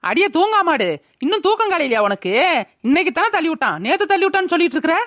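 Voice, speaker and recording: Woman as a quick, high-voiced scolding village mother. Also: big pitch swings, fairly bright tone, no room sound, studio quality, full character voice. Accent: rural Tamil Nadu. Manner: brash, scolding. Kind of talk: cartoon village drama voice-over.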